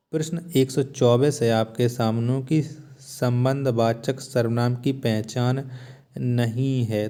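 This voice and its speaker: A man's voice: mid-range voice, warm tone. A man teaching a lesson, methodically reading out quiz questions and repeating each key answer after a rhetorical question. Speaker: Hindi grammar teacher for exam prep.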